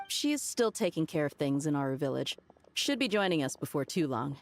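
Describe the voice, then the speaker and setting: A composed female companion in a fantasy game. Her voice is notably low and her delivery is polite. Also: very animated, fairly quick. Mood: polite, calm. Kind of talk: video game voice acting.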